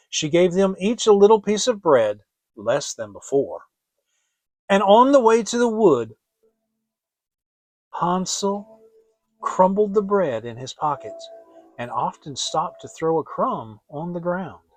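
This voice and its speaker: Theatrical male storyteller, slightly high voice. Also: lively intonation, a little slow, dry recording. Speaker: audiobook narrator. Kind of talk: dramatic fairy tale reading, scripted narration.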